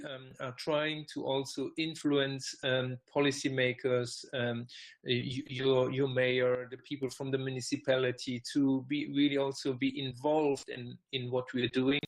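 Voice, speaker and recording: A man explaining things as the presenter of an online meeting, with halting, hesitant phrasing, picked up by a computer microphone. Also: mid-range voice, low-quality recording. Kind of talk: explanatory conference call presentation. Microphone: computer microphone on online call.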